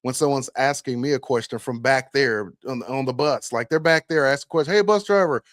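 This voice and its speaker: American guy bus driver host reacting casually, opinionated, asks rhetorical questions, average pitch, no room sound. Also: a little fast, a bit bright, no room sound, clean studio sound. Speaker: bus operator and video host.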